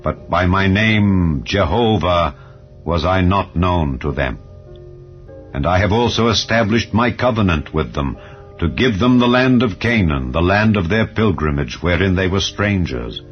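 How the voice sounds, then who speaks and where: A majestic, commanding male God, voiced by a man reader in a scripture drama. The voice is deep and declamatory, grand and emphatic in its promises. It sounds a little dull and lo-fi, with calm music behind. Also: unhurried. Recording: dull lo-fi audio over music. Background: calm background music.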